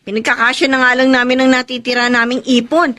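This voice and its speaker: An actress playing a blunt, protective younger sister in a radio drama, chiding and expressive. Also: female voice, high-pitched, fast. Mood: exasperated, demanding. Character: outspoken, protective younger sister of a village family.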